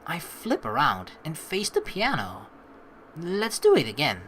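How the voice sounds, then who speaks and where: A man's voice: a little high, measured pace, slight background noise. A young man giving chatty let's play commentary, reading game text aloud and reacting to it. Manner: animated, chatty, reacting as he reads.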